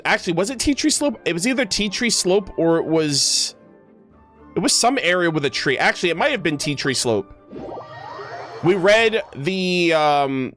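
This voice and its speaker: Male game streamer, slightly high voice, loose slangy chatter full of self-corrections, music underneath. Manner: rambling, self-correcting, slangy, animated asides. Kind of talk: casual livestream chat with viewers.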